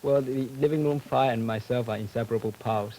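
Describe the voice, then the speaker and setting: A teenage boy from Singapore, giving dry, quick answers as a student panelist. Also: mid-range voice, dark tone, slight background noise, low-quality recording. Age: teens.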